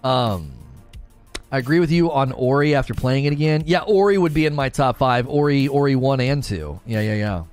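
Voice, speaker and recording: A man's voice: medium pitch, lively intonation, normal speed, darkish tone, smooth. A man talking casually as the host of a daily livestream gaming talk show. He rambles eagerly, repeats phrases and answers viewer chat, with a mid-range voice over faint background music. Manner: enthusiastic, rambling, repeats himself.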